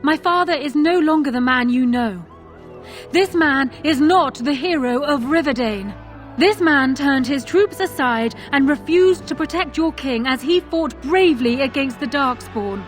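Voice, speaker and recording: A woman's voice: sits high, a little slow, soft background music. An actress playing a resolute young queen addressing her lords in a fantasy game, formal and declamatory. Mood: resolute accusation. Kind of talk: dramatic fantasy game oratory.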